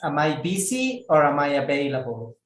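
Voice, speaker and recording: A man teaching an English lesson to a class, speaking slowly and carefully and repeating words so students can follow. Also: fairly high pitch, big pitch swings. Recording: echoey room.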